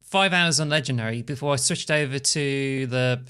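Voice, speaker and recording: A woman co-hosts a casual podcast in a bright, forceful voice. She rambles, leaning on "like" as she goes, and she is nursing a strained back. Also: very deep voice, moderate pace, clean tone. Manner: rambling, with many "like" fillers. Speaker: podcast co-host. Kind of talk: casual chat. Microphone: podcast microphone.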